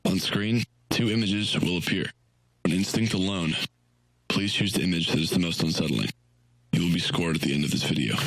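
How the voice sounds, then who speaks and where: A man playing a stern, impersonal police training-video narrator, speaking in formal, measured instructions for an analog horror game. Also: low voice, a bit bright, no room sound, rough recording. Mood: formal, ominous.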